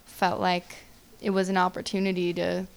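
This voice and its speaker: Creaky-voiced young woman. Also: fairly low pitch, completely flat delivery, moderate pace. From Sweden. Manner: easygoing, lots of agreeing yeahs and you knows. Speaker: musician guest. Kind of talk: casual interview chat.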